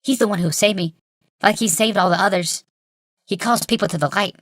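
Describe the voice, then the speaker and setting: Man doing an eager, high-voiced young boy. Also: fairly quick, a bit bright, no room sound. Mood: eager, earnest. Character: young boy assistant, ingenuous, devout, irritatingly helpful.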